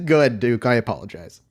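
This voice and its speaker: Man, medium pitch, game master, tabletop roleplay narration.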